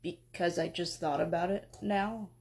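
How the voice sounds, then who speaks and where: A woman voicing a sleepy, slow-witted male god who mumbles, hesitates and apologizes. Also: on the low side, steady tone, creaky, a little mellow, pitched below the performer's own voice.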